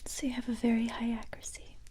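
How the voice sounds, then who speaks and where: A woman voicing a calm, sympathetic female special-forces recruiter in a soft-spoken ASMR roleplay, gentle and reassuring in a breathy whisper. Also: a little high, fast, lightly acted. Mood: calm, encouraging. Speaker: ASMR creator playing a roleplay character.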